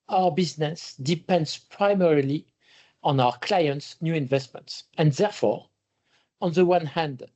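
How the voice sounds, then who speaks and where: Male chief executive, slightly high voice, formal and measured delivery of a prepared company update. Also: a little mellow. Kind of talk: scripted earnings presentation.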